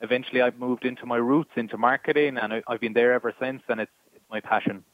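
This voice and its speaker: A man with an Irish accent, a guest panelist on a radio talk show, speaking in a friendly, brisk way over the phone. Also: medium pitch, fairly even intonation, dry recording. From Ireland. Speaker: guest panelist, marketing team lead. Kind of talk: casual radio interview. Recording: tinny phone line.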